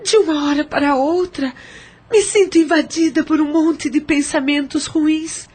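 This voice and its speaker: Troubled Brazilian mother confessing her regrets, played by an actress, high voice, earnest audio drama. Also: fairly even intonation, unhurried, a little mellow. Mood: troubled, remorseful. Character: troubled, remorseful adult woman, estranged mother confessing.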